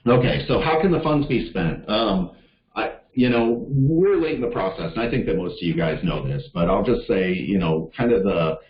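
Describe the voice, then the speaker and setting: A man's voice: on the low side, lively intonation, moderate pace. A man presenting on a conference call, talking informally with many fillers and earnestly urging his listeners to act. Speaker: staff presenter for a league of cities. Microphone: call audio. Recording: echoey, dull call audio.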